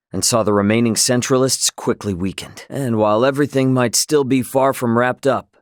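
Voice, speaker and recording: An actor playing a brash, easygoing young male starship captain in a video game, with a cocky, informal and expressive delivery. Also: fairly low pitch, no room sound, studio quality. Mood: sober, reflective. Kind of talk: video game character dialogue.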